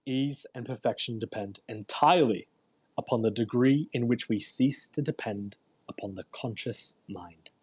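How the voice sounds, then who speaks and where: A man with a husky voice hosting a read-aloud, delivering a book in an emphatic, deliberate way with informal commentary. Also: mid-range voice, darkish tone. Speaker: host reading a self-help book aloud. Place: live online audio room broadcast.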